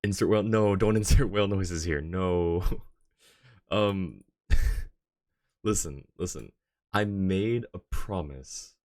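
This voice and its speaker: Low-voiced man chatting on a game stream. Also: a little mellow, dry recording, clean studio sound. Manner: rambling, thinking aloud. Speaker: game livestreamer. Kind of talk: casual live-stream gaming chat.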